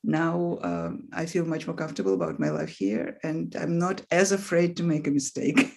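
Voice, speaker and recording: A woman from Russia, speaking English as a second language with a Russian accent, talking as an author guest in a relaxed interview and often pausing with "um". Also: low-pitched. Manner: thoughtful, pauses with frequent "um". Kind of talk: casual interview conversation.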